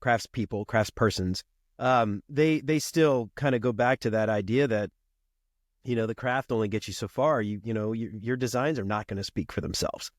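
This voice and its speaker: A man's voice: on the low side, moderate pace, dry recording, clean studio sound. Congested man, chatty. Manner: relaxed, rambling, frequent fillers and laughs. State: congested from a cold. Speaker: podcast guest, podcast host himself. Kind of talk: casual conversation.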